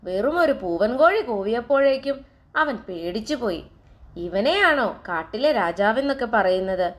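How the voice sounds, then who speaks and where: A woman's voice: a little high, expressive, measured pace. A woman voicing a foolish, boastful male donkey in a children's storybook tale, talking in a scoffing, cocky way.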